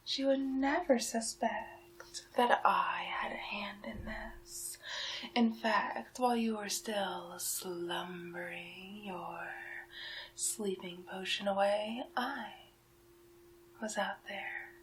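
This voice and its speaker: A woman playing a sly, possessive medieval witch in a hushed, drawn-out, teasing ASMR roleplay. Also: mid-range voice, a little hiss or hum, natural voice, rough recording. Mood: gloating. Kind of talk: ASMR roleplay, soft speaking and whispering.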